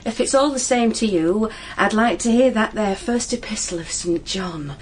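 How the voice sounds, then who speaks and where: A woman's voice: mid-range voice, moderate pace, soft background music, close to an everyday voice. An actress playing a humble, kindly, anxious cottager woman with a homely, plaintive manner in a period audio drama. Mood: homely, welcoming.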